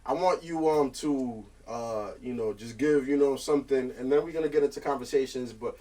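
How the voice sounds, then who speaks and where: A man hosting a podcast in casual, slangy talk, full of joking and hype shout-outs. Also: mid-range voice, normal speed. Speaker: podcast host. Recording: off-mic, distant room sound.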